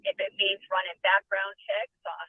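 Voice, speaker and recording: Creaky-voiced woman on a dull line. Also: mid-range voice, steady tone, moderate pace. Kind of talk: casual serious chat.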